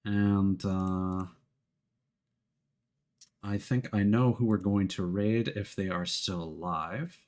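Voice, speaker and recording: A man's voice: on the low side, takes it slowly, long pauses, a little mellow. A man chatting casually to viewers on a livestream in an easygoing, rambling way. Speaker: VTuber livestreamer. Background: music.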